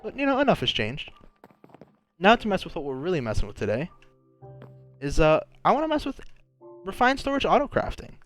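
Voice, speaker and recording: A man's voice: high-pitched, wildly swooping pitch, a little fast, long pauses, a bit bright, soft background music. A young man with a sore throat, chatting casually and thinking aloud while playing a game, often restarting his sentences. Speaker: gaming channel host. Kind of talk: casual gameplay commentary.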